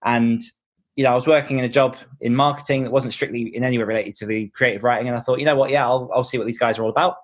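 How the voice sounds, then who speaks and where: A man talks as an interview guest in a casual, conversational way. His delivery is very quick, at a medium pitch. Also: fairly even intonation. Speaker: podcast guest, author.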